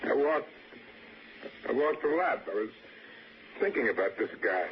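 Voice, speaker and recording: An actor playing a nervous, defensive young murder suspect with a hesitant, stammering delivery in a 1960s radio drama. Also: male voice, mid-range voice, big pitch swings, measured pace. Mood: pleading, anxious. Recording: dull, faintly echoing, noisy old radio recording.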